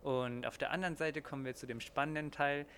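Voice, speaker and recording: A man in his twenties, a master confectioner, calmly explaining how his small chocolate workshop works. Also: a little high, brisk, a little hiss or hum. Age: 20s. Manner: calm, steady explaining with occasional fillers.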